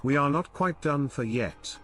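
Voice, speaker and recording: A man voicing a calm, soothing male companion in an audiobook, speaking quietly and with measured placidity. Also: on the high side, faint music behind. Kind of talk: dramatised audiobook reading.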